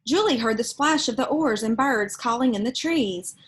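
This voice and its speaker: A woman's voice: a little high, normal speed, husky. A woman reading a children's storybook aloud expressively, with casual asides explaining the pictures to young listeners. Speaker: storybook reader.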